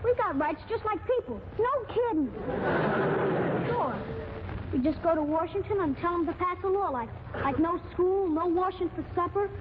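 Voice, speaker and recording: A woman playing an excitable, eager little boy in a 1940s radio comedy. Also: extremely high pitch, normal speed. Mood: excited, scheming. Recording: tinny noisy 1940s radio recording.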